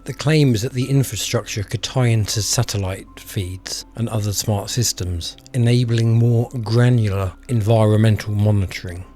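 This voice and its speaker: A man presenting a scripted video commentary, speaking in a measured, earnest way straight to his viewers. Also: lowish voice, darkish tone. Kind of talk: scripted explainer narration with direct address to viewers.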